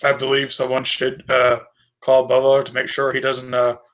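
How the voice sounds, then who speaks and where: Male tabletop player, even monotone pitch, bright forceful tone, casual. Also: moderate pace. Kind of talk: casual chat.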